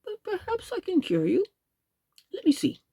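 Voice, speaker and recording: A woman voicing a kindly old gentleman rabbit who speaks in a gentle, polite, measured way in a storybook reading. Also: extremely high pitch, huge pitch swings, dry recording, studio quality, voice pushed up, full character voice.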